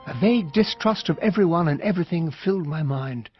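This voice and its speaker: Casting: actor for observant British officer-narrator, lightish voice, very varied intonation, genteel period radio mystery. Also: male voice, fairly high pitch, a little fast, lo-fi sound, soft background music, lightly acted. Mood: uneasy. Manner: genteel, expressive. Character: courteous, observant army officer narrating a country-house mystery.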